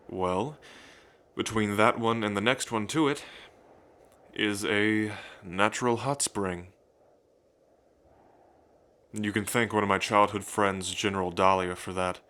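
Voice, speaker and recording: A man playing a romantic dragon king in an intimate ASMR roleplay, tender and unhurried, with playful pride as he talks to the listener. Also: lowish voice, pushed and bright. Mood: playful, eager.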